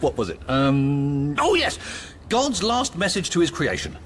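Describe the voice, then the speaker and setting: A man's voice: sing-song, a little slow, a bit bright, slight background noise. An actor playing a giddy, exhausted man who rambles and giggles in a radio comedy drama. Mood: amused, breathless. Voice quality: high, giggly. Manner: rambling, breaks into wheezy laughter. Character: giddy, exhausted man helplessly laughing as he tells truths.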